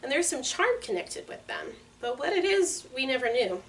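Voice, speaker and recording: A group of small, serious Munchkin men, read aloud by a woman in a fairly high voice with plain delivery. The mic sits a bit distant, with a faint hiss behind. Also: big pitch swings, moderate pace. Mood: solemn.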